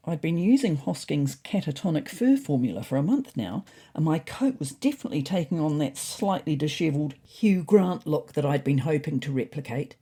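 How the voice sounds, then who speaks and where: A woman's voice: sits low, warm tone, husky, exaggerated voice. A woman voicing a self-important, naively confident male orange cat reading out his diary in a scripted story. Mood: smug, decisive.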